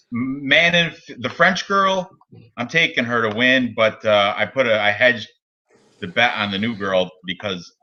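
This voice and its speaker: A man talks through his fight picks in loose, rambling chat and laughs often. His voice is bright and forceful. The recording sounds a bit dull and lo-fi. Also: mid-range voice. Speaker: co-host of a betting picks show. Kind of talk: casual chat.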